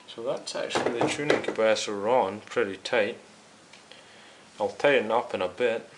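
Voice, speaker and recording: A man's voice: fairly low pitch, moderate pace, heavy background noise. A man hosting a hands-on repair video, talking casually and explaining each step directly to his viewers. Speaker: host of a radio restoration series.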